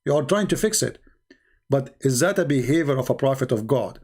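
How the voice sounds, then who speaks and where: A man's voice: mid-range voice, expressive, fairly quick, a little mellow, breathy edge. A man with an Arabic accent hosting a heated call-in debate, bluntly repeating his questions and pressing the caller. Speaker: host of a live call-in debate.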